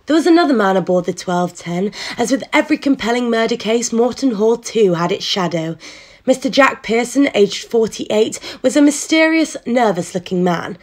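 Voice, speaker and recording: A woman playing a wry storyteller, narrating a period murder mystery radio play. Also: a little high.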